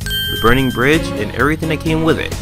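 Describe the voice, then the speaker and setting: A man's voice: mid-range voice, fast talker, over music, natural voice. A man voicing a goofy, self-important young artist in a video game dub, theatrical and boastful but casual. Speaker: fan dub voice actor.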